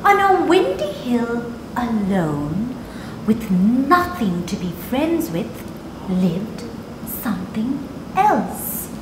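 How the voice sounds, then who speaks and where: A woman's voice: on the high side, wildly swooping pitch, extremely slow, reverberant, background noise. A middle-aged woman, reading expressively to children as a storytelling host. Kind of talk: expressive read-aloud for children. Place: living room sofa, indoors. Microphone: lapel mic.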